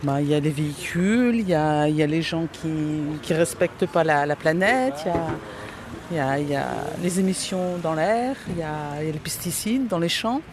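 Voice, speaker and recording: A man answering off the cuff and haltingly in a street interview. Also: fairly high pitch, lively intonation. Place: outdoors, traffic behind. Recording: noisy outdoor street recording.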